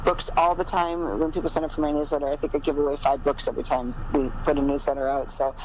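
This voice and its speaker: A woman's voice: sits low, little pitch movement, fast talker, a little mellow, slight background noise, low-quality recording. A woman author from the American Midwest, chatting enthusiastically as an interview guest, often restarting her sentences. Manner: enthusiastic and rambling, with many restarts. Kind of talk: casual phone-style interview chat.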